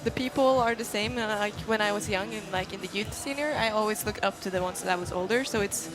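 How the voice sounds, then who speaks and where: A woman's voice: medium pitch, fairly quick, poor audio. A young woman from Norway with a Norwegian accent, chatting informally and a little hesitantly as a guest co-commentator. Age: young adult. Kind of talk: casual interview chat. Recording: music behind the voice. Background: music.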